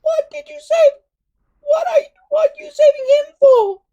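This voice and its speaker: A woman voicing a small, grumpy male storybook dragon with a shrill, stammering, piercing voice. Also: fairly even intonation, moderate pace, dark tone, muffled recording. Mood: indignant. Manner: stammering, repeating words.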